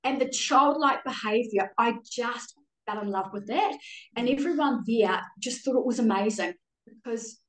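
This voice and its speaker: High-voiced New Zealand woman, echoey and distant. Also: moderate pace. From Auckland, New Zealand. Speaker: podcast guest. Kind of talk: candid casual chat. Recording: roomy echo, distant from mic.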